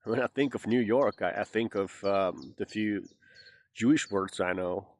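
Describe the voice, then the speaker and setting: A man's voice: lowish voice, unhurried. A Finnish man who speaks English with a Southern American twang, chatting casually and rambling through a jokey monologue with plenty of fillers. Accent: Southern American-style English. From Finland. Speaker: vlogger.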